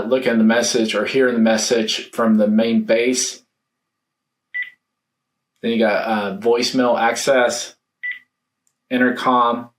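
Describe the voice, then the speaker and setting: Slow-talking man in an echoey room. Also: medium pitch. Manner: halting, thinking aloud. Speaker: product reviewer. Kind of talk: casual hands-on unboxing and review commentary. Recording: roomy echo, faint background noise.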